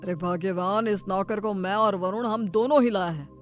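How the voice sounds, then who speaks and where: A firm, exasperated husband from a storybook tale, played by a woman. He explains things in a medium-pitched voice over faint music. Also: normal speed, close to an everyday voice. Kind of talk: moral story dialogue.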